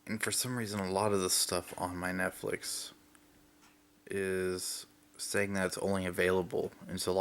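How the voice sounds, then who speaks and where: A young man talks through a casual vlog while under the weather, rambling and pausing on lots of fillers. His voice sits very low and flat, with a gravelly rasp. He speaks slowly and unhurriedly. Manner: rambling, hesitant with many fillers. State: sick, recovering from lost voice. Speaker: vlogger.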